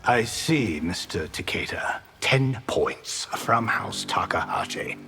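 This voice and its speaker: An actor playing a vain, wisecracking action movie star in a video game, smug, teasing and slangy. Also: male voice, on the low side, lively intonation, slow pace, whispered. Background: loud music.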